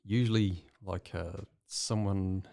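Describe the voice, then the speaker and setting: A man from New Zealand with a Kiwi accent, chatting as a guest artist in a relaxed interview, with plenty of ums and soft laughs. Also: low-pitched, slow, gravelly, dry recording, studio quality. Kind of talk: casual interview chat.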